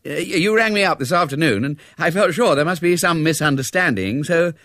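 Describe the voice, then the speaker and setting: A man voicing a pleasant young army major with polite, slightly hesitant, gentlemanly manners in a storybook reading. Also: on the high side, a little fast, no room sound, studio quality, pitched above the performer's own voice, close to an everyday voice. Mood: genial, amused. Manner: polite, hesitant, old-fashioned gentlemanly talk.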